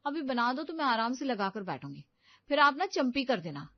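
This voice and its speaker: A woman's voice: high voice, very animated, normal speed, no echo. Woman doing a spoiled lazy young lady, bossy n entitled, story style, bit muffled. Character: spoiled, lazy young married daughter on holiday.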